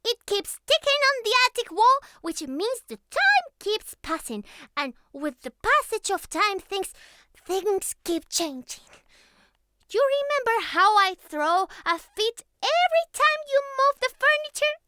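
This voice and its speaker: A naive young catgirl speaks in a tiny, extremely high voice. She talks slowly and hesitantly, with childlike, pleading earnestness. It is a clingy, intimate ASMR roleplay, recorded very dry and close. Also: big pitch swings, bright, forceful tone, no room sound, heavily acted. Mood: tender, pleading. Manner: childlike, hesitant, pleading, slightly formal phrasing.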